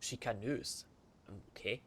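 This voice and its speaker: A man's voice: medium pitch, takes it slowly, a bit bright, slight background noise. A man who is a native German speaker, giving casual, playful let's play commentary with short asides. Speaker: let's player. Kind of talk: casual gaming commentary, voicing game dialogue.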